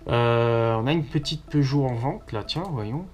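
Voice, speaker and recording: A man's voice: mid-range voice, unhurried. Male car vlogger from southwestern France, off-the-cuff and slangy, swears freely, music playing behind. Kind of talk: casual chat to camera.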